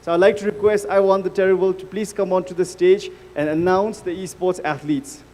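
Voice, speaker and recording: A man's voice: high voice, fairly even intonation, brisk, a little mellow, a little hiss or hum. A man, working as master of ceremonies, calling people to the stage with chatty, joking banter. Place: large event hall. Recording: echoey hall with faint ambience.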